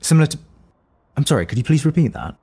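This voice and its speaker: An actor playing an anxious, indignant, paranoid businessman in an audio drama, halting and often interrupting himself. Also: on the high side, sing-song, warm tone, husky, full character voice. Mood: puzzled. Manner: halting, muttering, self-interrupting. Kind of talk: audio drama monologue and phone dialogue.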